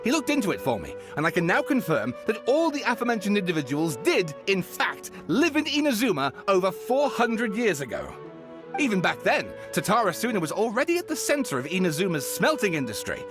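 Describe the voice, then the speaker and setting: A man playing an excitable writer of mystery tales in a video game, with a showy, emphatic delivery. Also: sits high, a little fast. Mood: eager. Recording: music behind the voice.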